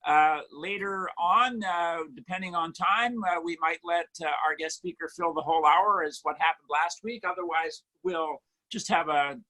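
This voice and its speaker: Host, man, high and bright voice, halting intro on a video call. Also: poor audio. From Canada. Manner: halting, full of uh fillers. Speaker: meeting host. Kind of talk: hosting a video meeting.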